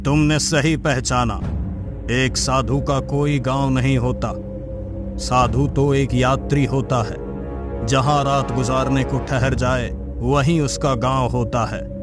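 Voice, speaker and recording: Casting: male actor as wandering miracle-working sage, medium pitch, slow preachy authority, music underneath, children's cartoon. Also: steady tone, close to an everyday voice. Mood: serene, assured. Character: mysterious wandering male holy man, miracle-working, stern, assured. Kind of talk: children's cartoon story dialogue.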